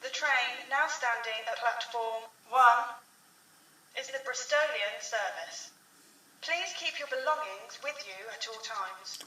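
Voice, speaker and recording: A woman makes a formal station announcement in a slow, fairly high voice. The sound is echoey and noisy, as if played back from a distance. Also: bright, forceful tone. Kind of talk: formal scripted public announcement. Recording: echoey, noisy playback from far off.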